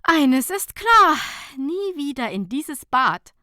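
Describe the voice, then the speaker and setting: Sassy young female friend acted by a woman reader, outspoken and emphatic, raised bright voice, comic audiobook. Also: sits high, big pitch swings, slow pace, no echo, clean studio sound, pitched above the performer's own voice, full character voice. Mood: amused, resolute. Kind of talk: humorous audiobook character dialogue.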